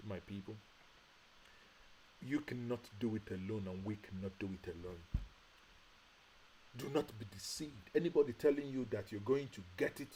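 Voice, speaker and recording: A man's voice: mid-range voice, warm tone, slight background noise, poor audio. A Nigerian man giving impassioned live-stream commentary, straining his voice and repeating rhetorical questions. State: straining, says he is shouting and has a headache. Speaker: online commentary host.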